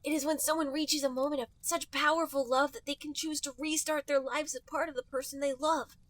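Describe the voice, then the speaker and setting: A man's voice: very high voice, level tone, brisk, fairly bright tone, dry recording, pitched above the performer's own voice. A man voicing an earnest young male fox who lectures matter-of-factly like a know-it-all in a fan fiction reading. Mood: earnest lecturing.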